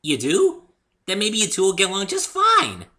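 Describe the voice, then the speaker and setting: A man voicing a bubbly, excitable, scatterbrained young female pony in a dramatic story reading, with a gushing, teasing manner. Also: high voice, moderate pace, pitched above the performer's own voice, heavily acted. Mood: chatty.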